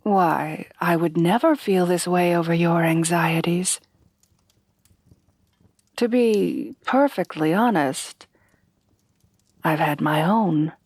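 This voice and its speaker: Lady acting as a refined monster fiancee, soft slow asmr voice, lowish, super close and dry, proper wording. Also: dry recording. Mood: tender, reassuring. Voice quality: soft, breathy at times. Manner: formal, genteel, measured phrasing. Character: refined, tender headless horsewoman bride, gently insecure. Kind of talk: soft-spoken ASMR roleplay.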